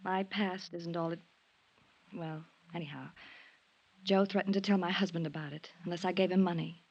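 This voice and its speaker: A woman's voice: lowish voice, fairly even intonation, moderate pace, darkish tone, slightly rough, lo-fi sound. A woman playing an anguished, guilt-laden wife in a 1950s radio drama, earnest and melodramatic. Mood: ashamed, defensive. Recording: noisy 1950s radio recording.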